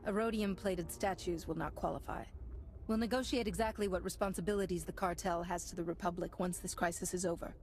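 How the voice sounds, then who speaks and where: A woman's voice: on the low side, steady tone, a little fast, slight background noise, lightly acted. An actress playing a resolute, composed female Republic hero and negotiator in a video game. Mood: calm, firm. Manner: firm, composed, authoritative.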